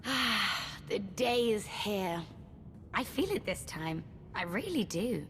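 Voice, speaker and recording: A woman's voice: medium pitch, lively intonation, slow pace, fairly bright tone, faint music behind. An actress playing a young, unhinged female villain in a video game, drawling with eager relish. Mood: gleeful, eager. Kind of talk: dramatic video game acting.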